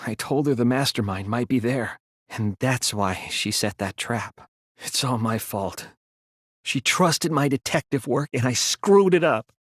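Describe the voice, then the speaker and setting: An earnest teenage boy detective from a dramatic video game. His voice sits at a medium male pitch and he lays out his reasoning deliberately, with a self-doubting streak. The recording is close and dry. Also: a bit bright, no echo. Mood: guilty, pained. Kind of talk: dramatic English video game voice acting, deductive monologue.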